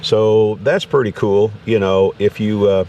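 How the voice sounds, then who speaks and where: A man's voice: lowish voice, steady tone, unhurried, warm tone, recorded from a distance, lo-fi sound. An American man hosting a casual, unscripted gear review in a folksy, rambling way. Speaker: vlog host reviewing gear. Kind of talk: casual unscripted product talk. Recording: clear background noise.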